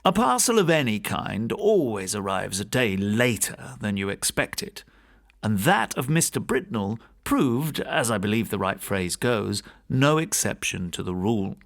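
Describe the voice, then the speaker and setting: Expressive male gothic storyteller, swooping intonation. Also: lowish voice, a little slow, gravelly. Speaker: audiobook narrator and podcast host. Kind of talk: scripted narration of a classic gothic ghost story.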